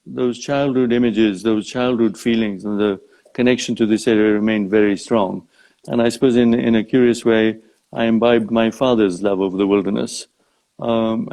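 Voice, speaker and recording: An older man from Uganda speaking as an interview guest in a live online chat, thoughtful and halting as he phrases things. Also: on the low side, darkish tone, low-quality recording. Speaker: interview guest, safari company founder. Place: live social media video chat.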